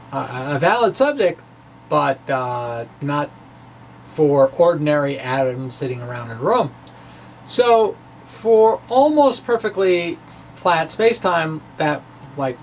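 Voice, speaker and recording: A speaker giving an informal, off-the-cuff physics explanation in a halting, self-interrupting, conversational way. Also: mid-range voice, slight background noise. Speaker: amateur physicist explaining own work.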